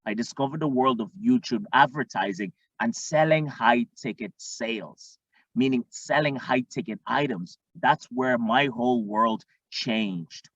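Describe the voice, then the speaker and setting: A man from Tanzania, a marketing coach, teaching in an energetic way and often checking in with the listener. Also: mid-range voice, measured pace, dry recording. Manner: energetic, repeats "okay?" often. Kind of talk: instructional screen-share talk. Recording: dull lo-fi dry sound.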